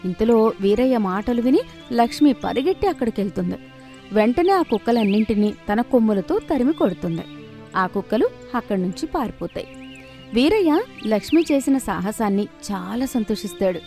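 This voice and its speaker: A woman's voice: a little high, animated, moderate pace, warm tone. A woman narrating a scripted moral story. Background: faint background music.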